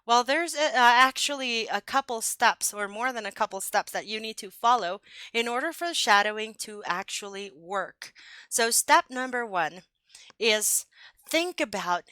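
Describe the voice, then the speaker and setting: A young adult woman with an American accent teaches English in a clear, upbeat way, stressing example phrases so learners can copy them. Her voice sits a little high and sounds bright and forward. The recording is dry and close, with no room sound. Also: expressive, unhurried, no room sound. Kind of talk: online English lesson.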